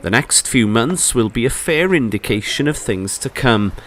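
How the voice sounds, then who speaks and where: A man's voice: mid-range voice, lively intonation, moderate pace, fairly bright tone, slight background noise. A man narrating a scripted local news feature as the reporter.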